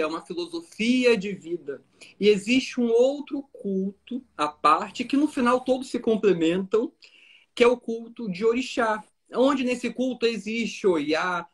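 A Brazilian man speaks as a priest and guest on a live stream. His voice is high for a man and husky, and he explains things didactically so that beginners can follow. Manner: didactic, checks that listeners follow. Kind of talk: explanatory live-stream talk for lay listeners.

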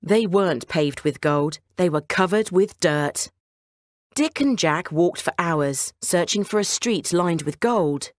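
A woman narrating a scripted children's bedtime story in an expressive storytelling manner. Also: fairly low pitch, slow pace, no echo, clean studio sound.

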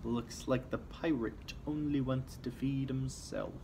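A man's voice: medium pitch, steady tone, measured pace. A young man reading a children's picture book aloud, expressively, with asides to young listeners. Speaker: storytime reader. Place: small office room, indoors. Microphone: camera a few feet away. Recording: faint background noise.

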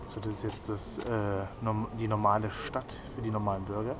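A man's voice: on the low side, flat delivery, moderate pace, background noise. A young man chatting casually through a travel vlog, enthusiastic and full of fillers, filmed on a camera held at arm's length. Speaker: vlogger. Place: museum indoors, then city streets at night. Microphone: action camera held at arm's length.

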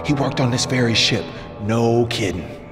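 An actor playing an eager, fawning, foul-mouthed prison inmate in a video game, rambling from loud bursts to mutters. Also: male voice, medium pitch, expressive, moderate pace, natural voice. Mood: uneasy, gossipy. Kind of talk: cinematic video game acting. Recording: echoey hall with loud music behind.

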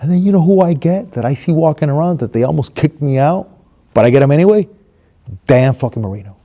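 A man in his thirties from Miami tells a motivational story, swinging between hushed and loud as he acts it out. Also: on the high side, lively intonation, fast talker. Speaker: motivational speaker. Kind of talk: storytelling monologue. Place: stage with red curtain, seated.

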